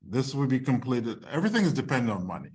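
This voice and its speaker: A man's voice: mid-range voice, sing-song, fast, a little mellow, husky. A man speaking as a screenwriter and filmmaker, answering questions informally on an online video call.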